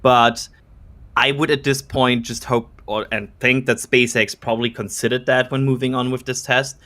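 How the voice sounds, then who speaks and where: A man's voice: mid-range voice, bright, forceful tone. A man co-hosting a casual live commentary stream, speaking hesitantly and often correcting himself. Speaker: co-host.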